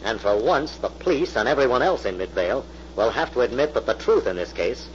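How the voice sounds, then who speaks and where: An actor playing a calm, gallant leading man in an old radio mystery, reassuring and gently wry. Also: medium pitch, brisk, natural voice. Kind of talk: 1940s radio mystery acting. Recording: muffled, hissy old radio recording.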